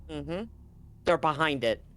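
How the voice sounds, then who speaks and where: Slightly high-voiced man running a tabletop game. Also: measured pace, fairly bright tone, slight background noise. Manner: casual, profane. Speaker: game master.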